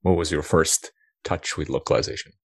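Podcast host guy, really deep voice, dry close sound, asks friendly curious questions. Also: steady tone, brisk, no room sound. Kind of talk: interview hosting.

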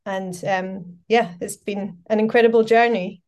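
A woman's voice: medium pitch, fairly even intonation, a little slow, darkish tone, clear, resonant tone. A Scottish woman in her forties, a podcast guest chatting casually and telling her story with lots of fillers. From Scotland. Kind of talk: casual interview chat.

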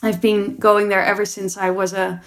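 A young woman speaks about her work in an earnest, reflective way. Her voice sits in a middle pitch, and she often repeats a word as she restarts a thought. She sounds like a guest answering interview questions. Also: little pitch movement, normal speed, a bit bright. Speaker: producer and entrepreneur.

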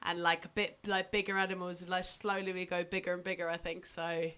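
A woman's voice: lowish voice, level tone, a little fast, pushed and bright, clear, resonant tone. A young woman in casual conversation, talking loosely with lots of fillers and restarts. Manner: chatty, full of "like" fillers and restarts. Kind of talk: casual chat.

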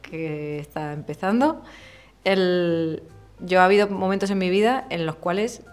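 A woman in her thirties from Murcia with a softened Murcian accent, a guest talking candidly and casually in an interview, full of slang and fillers. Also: fairly low pitch, unhurried, smooth, faint music behind. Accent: softened Murcian Spanish. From Murcia, Spain. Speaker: podcast guest, illustrator.

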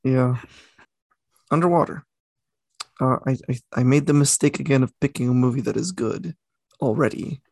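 A man's voice: medium pitch, moderate pace, creaky, pauses often, darkish tone, no room sound. A young man co-hosting a casual podcast, rambling and correcting himself as he talks. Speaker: podcast co-host. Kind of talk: casual chat.

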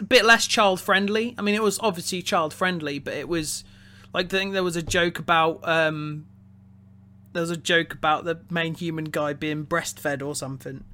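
High, bright-voiced man with halting delivery. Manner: halting, often repeating words. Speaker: podcast co-host reviewing a film. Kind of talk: casual opinionated discussion.

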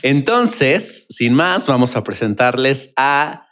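A man who co-hosts a casual podcast, friendly and quick to laugh. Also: medium pitch, big pitch swings, fairly bright tone. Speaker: podcast co-host.

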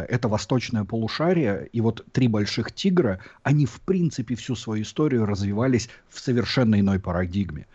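A man's voice: fairly low pitch, mellow, breathy edge. A Russian-born man who lives abroad and hosts a YouTube channel, reflecting and explaining at length in a casual chat. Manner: reflective, explanatory, many fillers. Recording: dull lo-fi sound.

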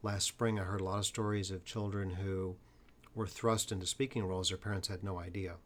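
Male podcast host, earnest, occasional stutter, low-ish voice. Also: little pitch movement, fairly quick. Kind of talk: podcast conversation.

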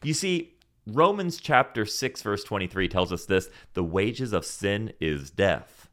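Earnest man preaching scripture, low voice. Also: expressive, unhurried, a bit bright. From Senegal. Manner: earnest, quotes scripture, rhetorical questions. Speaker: podcast Bible teacher. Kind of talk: preaching Bible study podcast.

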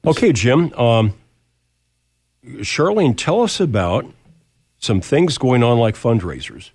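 A man's voice: mid-range voice, big pitch swings, slow, darkish tone, slightly rough. A man hosting a radio show with casual banter and folksy, playful phrasing, on a studio microphone.

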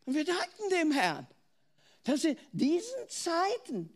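Older German woman preacher, earnest and questioning, high-pitched, unhurried delivery. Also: huge pitch swings. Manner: earnest, asks the listener questions, restarts words. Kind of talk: sermon.

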